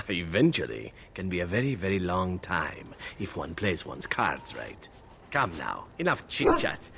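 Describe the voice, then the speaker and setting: A man's voice: sits low, wildly swooping pitch, a little slow, gravelly, a bit bright, slight background noise, full character voice. A man voicing a playful, roguish assassin in a fantasy game, with teasing, theatrical phrasing. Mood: teasing, evasive. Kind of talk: fantasy video game dialogue.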